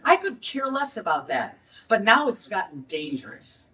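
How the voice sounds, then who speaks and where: A woman's voice: mid-range voice, very animated, moderate pace, bright, forceful tone, distant mic. A middle-aged woman from Detroit with a Detroit accent, a restaurant owner holding a blunt, indignant press conference.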